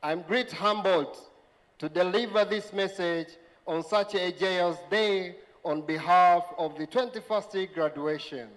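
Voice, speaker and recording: A man's voice: high voice, unhurried. A young man from Zanzibar with a Tanzanian accent, reading a formal prepared speech as representative of a graduating class. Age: young adult. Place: large event tent. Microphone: handheld microphone. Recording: reverberant PA sound in large tent.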